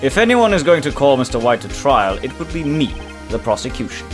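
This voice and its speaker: A man's voice: mid-range voice, very animated, brisk, natural voice. A man playing a haughty, composed prosecutor who speaks formally and smugly in a courtroom game fandub. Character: haughty, composed male prosecutor, coolly smug. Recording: music clearly behind the voice.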